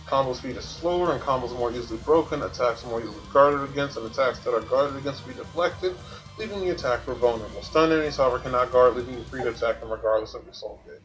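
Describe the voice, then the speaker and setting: A man's voice: mid-range voice, fast, fairly bright tone, soft background music, low-quality recording. A man doing casual gameplay commentary as a streamer, thinking aloud and explaining things to himself. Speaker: video game streamer.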